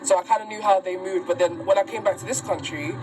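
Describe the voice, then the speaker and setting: A woman's voice: medium pitch, fast, noisy background. A woman, telling a personal story as an interview guest in a chatty, slangy, rambling way. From Uganda and Sierra Leone. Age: 20s. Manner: chatty, slangy, rambling with many likes and laughs. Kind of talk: casual storytelling. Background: loud background music.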